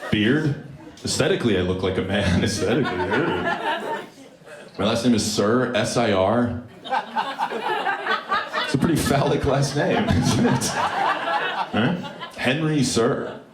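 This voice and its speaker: Deep-voiced man doing wry live stand-up. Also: measured pace, long pauses. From Alberta, Canada. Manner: wry, self-mocking, deadpan asides. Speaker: stand-up comedian. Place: comedy club stage before a live audience. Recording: roomy live club with loud crowd laughter.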